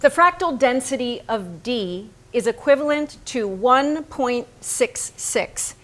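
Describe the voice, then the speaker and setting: A woman acting a cerebral, unflinching painter's wife in a theatrical staged reading, speaking with precise, measured emphasis. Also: on the high side, animated. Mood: analytical. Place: staged reading in a barn venue.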